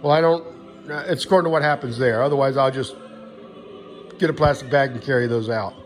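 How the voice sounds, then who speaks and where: A man's voice: medium pitch, a little fast, soft background music. A man giving a casual, unscripted gear walkthrough in a folksy, matter-of-fact way, recorded indoors on a handheld phone. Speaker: hiker showing gear. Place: indoors in a home living room. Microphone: handheld phone camera, speaker behind it.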